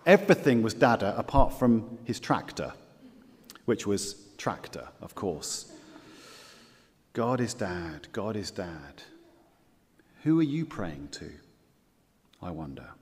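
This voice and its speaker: Low-voiced male preacher in an echoey hall. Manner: earnest, repeats key phrases, slows down for scripture quotes. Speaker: pastor. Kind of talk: sermon.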